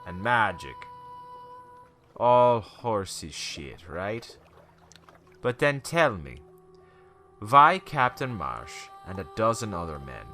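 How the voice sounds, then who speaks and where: An actor playing a haunted, bitter old small-town shopkeeper in a radio play, telling an ominous tale haltingly with theatrical rhetorical questions. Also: male voice, sits low, sharp tone, exaggerated voice. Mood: scornful, insistent. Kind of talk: dramatic radio play monologue.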